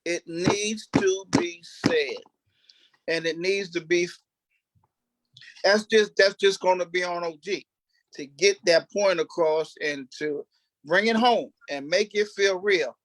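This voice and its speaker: A man with a high-pitched voice talks as a cast member in an unscripted discussion. He is emphatic and circles back over his phrases with restarts. The sound is dry and close. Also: a bit bright, no echo, rough recording. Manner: emphatic, repetitive, halting restarts. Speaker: stage play cast member.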